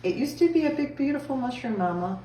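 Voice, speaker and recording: A woman's voice: sits high, lively intonation, fast, warm tone, reverberant, recorded from a distance, slight background noise, voice pushed up. A woman voicing a small, kind-hearted young male mole in a storybook, speaking earnestly. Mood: sad, sheepish. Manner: earnest storybook character talk.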